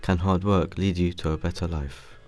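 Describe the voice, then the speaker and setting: A man reading scripted documentary narration in a steady, formal way. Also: low voice, normal speed. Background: soft music.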